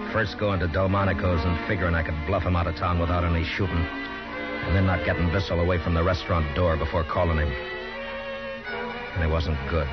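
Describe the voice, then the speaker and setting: A grave, steady frontier marshal played by a man in an old radio western, with a very deep voice and measured narration. Loud music sits under the dull, muffled 1950s broadcast sound. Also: close to an everyday voice.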